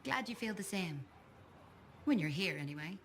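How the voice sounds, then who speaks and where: An actress playing a friendly, down-to-earth mechanic at a sci-fi fort in a video game, warm and familiar in conversation. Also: female voice, lowish voice, animated, moderate pace, a little hiss or hum. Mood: friendly, candid.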